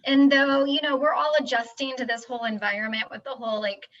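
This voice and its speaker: A woman moderating a casual video-call chat in an upbeat, quick promotional way. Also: high voice, level tone, a bit bright. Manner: upbeat, fast promotional talk. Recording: dull lo-fi video-call audio.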